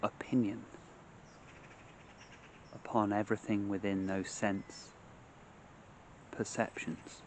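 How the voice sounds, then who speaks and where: A man leading a guided meditation in measured, short phrases separated by long pauses, speaking outdoors. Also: fairly low pitch, flat delivery, slight background noise. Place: outdoors among trees.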